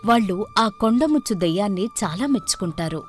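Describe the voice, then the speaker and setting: A woman's voice: medium pitch, lively intonation, fairly quick, faint music behind. A woman storyteller reading scripted narration for a children's moral story.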